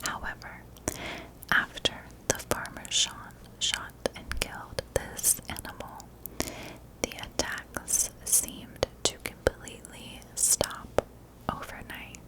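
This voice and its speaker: Slow, whispering woman ASMR storyteller. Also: rough recording. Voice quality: soft, breathy whisper. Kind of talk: whispered ASMR narration of a historical mystery.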